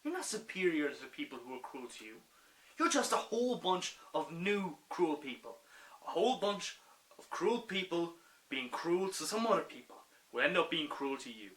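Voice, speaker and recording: A man acting a sharp, impassioned pleader. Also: a little high, sing-song, moderate pace, low-quality recording. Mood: earnest, pleading. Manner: rhetorical, sarcastic, insistent. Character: impassioned, pleading peacemaker challenging a rebel with sarcasm. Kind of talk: dramatic monologue acting.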